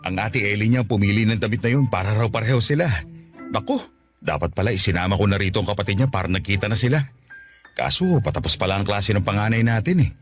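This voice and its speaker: Man acting as deep-voiced father, middle-aged, contrite, tender, earnest, radio drama. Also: animated, quick pace, faint music behind, natural voice. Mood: affectionate.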